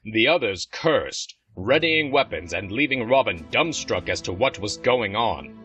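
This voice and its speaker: Male narrator for fantasy audio drama, crisp bright delivery, scripted storytelling over soft music. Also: lowish voice, animated, a little slow.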